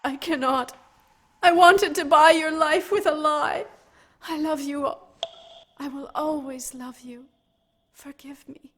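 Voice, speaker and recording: A woman's voice: high-pitched, steady tone, takes it slowly, pauses often, a bit bright. A woman playing a desperate captive noblewoman in a fantasy radio play, pleading and dramatic. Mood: anguished.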